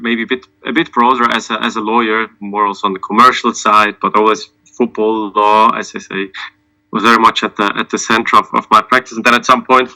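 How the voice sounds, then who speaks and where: Very bright-voiced, halting man on a panel. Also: medium pitch, low-quality recording. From Switzerland. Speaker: panelist, sports lawyer. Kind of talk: informal personal account on a panel.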